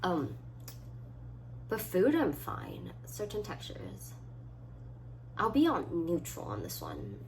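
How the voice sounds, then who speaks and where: Young woman vlogger, lively swings, noisy recording. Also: on the low side, slow pace, long pauses. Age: about 20. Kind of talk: casual solo chat, reading quiz items aloud and answering. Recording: clearly audible background noise.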